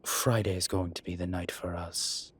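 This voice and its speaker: Casting: male actor as sardonic incubus demon professor for audio roleplay, very low and slow, guarded but playful. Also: fairly even intonation, fairly bright tone, full character voice. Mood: sly, eager. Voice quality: low, husky voice. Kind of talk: roleplay audio drama, speaking to an unseen listener.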